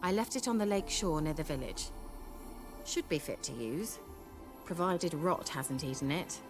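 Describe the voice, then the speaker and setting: An actress playing a vain, sharp-tongued sorceress in a video game, speaking in a haughty, wry, coaxing manner. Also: female voice, low voice, expressive, moderate pace. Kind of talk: video game cutscene acting. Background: game soundtrack music.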